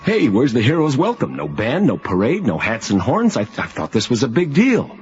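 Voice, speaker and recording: An actor playing a flippant, slangy astronaut captain, cocky and irreverent, in a radio drama. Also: male voice, mid-range voice, wildly swooping pitch, normal speed. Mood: sardonic. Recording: muffled vintage radio recording under music.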